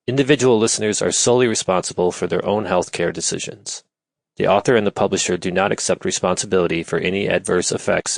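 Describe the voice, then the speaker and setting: Very deep, creaky male announcer. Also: no echo. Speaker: announcer reading disclaimer. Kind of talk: formal scripted announcement.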